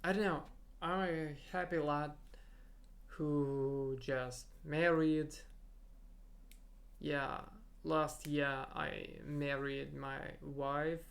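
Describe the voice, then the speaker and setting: A young man whose first language is Russian, thinking aloud in a halting solo podcast monologue and searching for his words. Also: on the high side, fairly even intonation, creaky. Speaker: podcast host.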